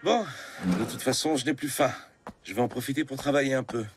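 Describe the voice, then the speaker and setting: A man voicing a preoccupied, puzzled middle-aged father in an animated cartoon, with a fussy, expressive delivery. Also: medium pitch, fairly quick, loud music behind the voice, natural voice. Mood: puzzled, irritated.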